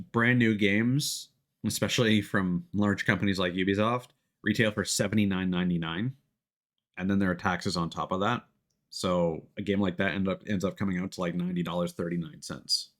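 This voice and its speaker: A man's voice: sits low, fairly even intonation, normal speed, a bit bright. A man giving casual live gameplay commentary as a streamer, rambling and restarting his sentences mid-thought.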